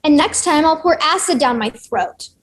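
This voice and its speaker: A woman's voice: sits high, lively intonation, moderate pace. A woman reading a sarcastic, irritable young woman. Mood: sardonic. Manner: sarcastic, snappy. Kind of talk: table read acting.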